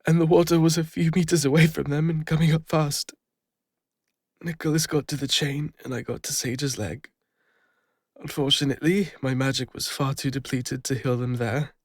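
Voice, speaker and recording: A man with an English accent playing a regretful fantasy adventurer and healer, confiding haltingly in an intimate audio roleplay. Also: medium pitch, vocal fry, no echo, studio quality. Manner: hesitant, confessional, halting with pauses.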